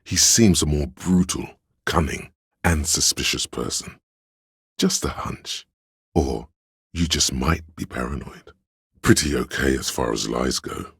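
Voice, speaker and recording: A man voicing a sly, flattering inner voice in a video game, with theatrical, courtly, old-fashioned diction and a gravelly, husky bass. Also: unhurried, no echo, studio quality, full character voice. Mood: suspicious, confiding. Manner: grandiose, archaic courtly diction, conspiratorial. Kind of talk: scripted video game voice acting, mock-Shakespearean.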